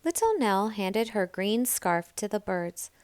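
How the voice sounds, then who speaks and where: Slow, clear woman reading a children's story. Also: mid-range voice, dry recording, studio quality. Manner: deliberate, expressive storytelling. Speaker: storybook reader. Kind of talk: children's read-aloud, scripted narration.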